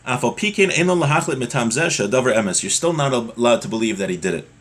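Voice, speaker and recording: A man's voice: mid-range voice, animated, fast, fairly bright tone. A man giving an explanatory religious lesson, reading Hebrew text and translating it into English in a conversational way with examples. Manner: conversational, explains with hypothetical examples and acted-out quotes. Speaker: religious teacher giving a Torah class.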